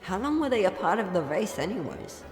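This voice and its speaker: A woman voicing a small young male dragon sidekick in a cartoon parody, wary, dry and deadpan. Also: medium pitch, a little fast, faint music behind, close to an everyday voice.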